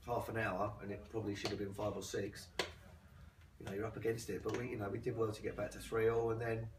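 A man's voice: fairly low pitch, flat delivery, fast, background noise. A man, team captain, giving a dry, understated post-match assessment in a small clubhouse room. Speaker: team captain and acting manager. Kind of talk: casual post-match interview. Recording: echoey, distant, with chatter.

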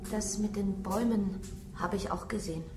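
An actress playing a polite, dutiful, timid young housemaid in a radio play, speaking deferentially. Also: female voice, mid-range voice, fairly even intonation, normal speed, dark tone, close to an everyday voice. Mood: hesitant, puzzled. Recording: very echoey, distant, music behind.

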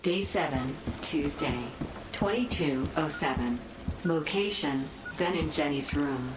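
Low, slow log announcer. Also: female voice. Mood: flat. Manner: flat, measured readout. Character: flat female log announcer voice. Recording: game audio with steady ambient hum.

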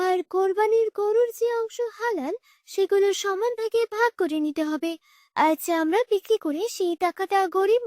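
A woman's voice: very high-pitched, no echo, clean studio sound. A woman voicing an earnest, knowledgeable girl in a children's cartoon, firmly explaining rules to her friends. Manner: didactic, explanatory.

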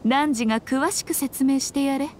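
A woman's voice: high-pitched, moderate pace, slight background noise, close to an everyday voice. A woman playing an elegant, teasing ancient fox shrine maiden in a Japanese anime game, with archaic, haughty, self-assured speech. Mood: playful, commanding.

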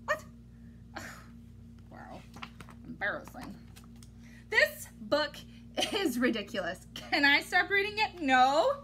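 Lady doing a kids read-aloud, high bright voice, over the top and playfully fed up, bit of noise in the room. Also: sing-song, takes it slowly, pauses often. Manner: exaggerated, theatrical, playfully exasperated delivery. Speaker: teacher reading a picture book aloud to children. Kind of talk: animated children's story read-aloud. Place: small indoor room at home. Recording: noticeable background noise, home recording.

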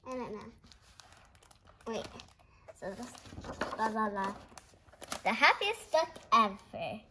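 High-pitched young girl reading aloud. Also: animated, background noise. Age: child. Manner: giggly, exclaiming, halting word-by-word reading. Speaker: host of a read-along video. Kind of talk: reading a picture book aloud with casual asides.

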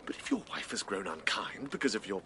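An actor playing a dignified, exasperated gentleman in a period radio drama, speaking formally and sounding affronted. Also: lowish voice, sing-song, brisk, creaky, slight background noise, heavily acted. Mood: affronted, restrained. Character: exasperated, dignified gentleman, estranged old friend.

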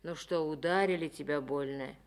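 A woman playing a bold, teasing, warm-hearted collective farm chairwoman in a Soviet radio play, with forthright folksy speech. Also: sits low, moderate pace. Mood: chiding, encouraging.

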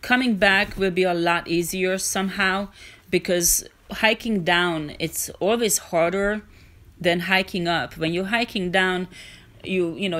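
A woman's voice: fairly low pitch, a bit bright. A woman narrating her hiking vlog in a casual, earnest, encouraging way, with small restarts. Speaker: hiking vlogger.

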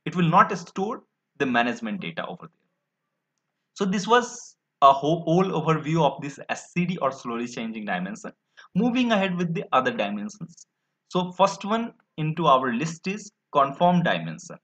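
A man's voice: fairly high pitch, very animated, normal speed, fairly bright tone. A man gives a slide lecture, explaining step by step with many fillers and restarts. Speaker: instructor. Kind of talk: screen-recorded slide lecture. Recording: dull lo-fi audio.

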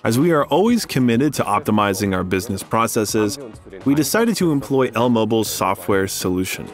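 Low-voiced man narrating over music. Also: big pitch swings, brisk. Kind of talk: scripted testimonial narration in a corporate video. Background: background music.

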